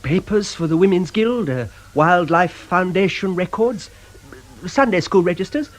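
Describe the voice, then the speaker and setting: An actor playing a mild, apologetic vicar in a radio drama, stammering politely in a husky voice. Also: male voice, sits high, expressive, measured pace. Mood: earnest. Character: flustered, apologetic, mild-mannered male locum vicar.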